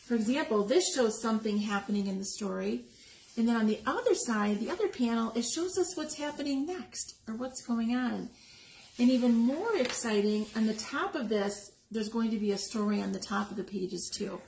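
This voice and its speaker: A woman reading a picture book aloud to young children, expressively, pausing to explain the pictures and ask questions. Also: fairly high pitch, vocal fry, darkish tone. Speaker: story time reader. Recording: echoey room with background noise.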